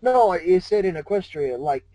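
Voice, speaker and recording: A man's voice: sits high, lively intonation, normal speed, mellow, dry recording. A man chatting casually and jokingly as co-host of a fan fiction reading show.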